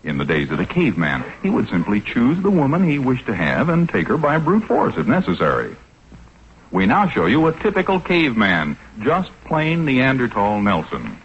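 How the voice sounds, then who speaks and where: An actor playing a mock-grand announcer, narrating 1940s radio comedy in a formal, declamatory way. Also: male voice, medium pitch, wildly swooping pitch, moderate pace. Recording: dull, noisy 1940s radio recording.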